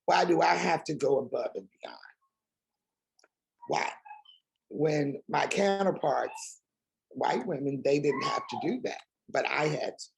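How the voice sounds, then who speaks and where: Raspy, gravelly-voiced woman guest. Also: low voice, expressive, unhurried, long pauses, rough recording. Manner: emphatic, asks pointed rhetorical questions. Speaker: interview guest. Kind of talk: candid conversational interview.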